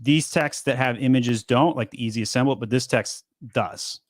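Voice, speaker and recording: A man in his thirties hosting a casual live Q&A from a home studio, upbeat and quick, often repeating words as he talks. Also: mid-range voice, dry recording. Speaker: host. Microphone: studio mic on boom arm.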